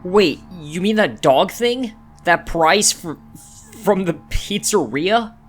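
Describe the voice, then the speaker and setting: An awkward, skeptical teenage boy voiced by a man speaks slowly and timidly in a comic dub. His pitch moves a lot, and music plays faintly behind him. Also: expressive. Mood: hesitant, skeptical.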